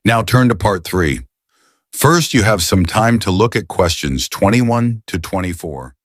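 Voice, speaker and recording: Casting: male test announcer, formal and neutral, lowish voice, scripted instructions, very dry recording. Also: animated, measured pace, husky, dry recording. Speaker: exam announcer.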